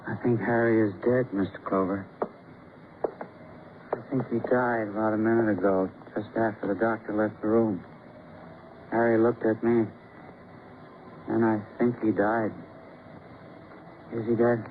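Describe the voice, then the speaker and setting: A man plays a stunned, grieving character in an old radio drama. His lowish voice speaks in simple, dazed sentences. The recording is narrow and tinny with faint hiss. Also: little pitch movement, measured pace, long pauses, close to an everyday voice. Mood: dazed grief. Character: stunned, grieving husband at a friend's deathbed. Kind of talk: 1940s-50s radio drama acting.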